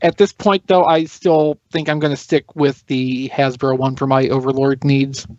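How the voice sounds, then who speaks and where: A man's voice: mid-range voice, level tone, normal speed, a little mellow, dry recording, dull audio. A man on a podcast panel, explaining things casually but analytically and in detail.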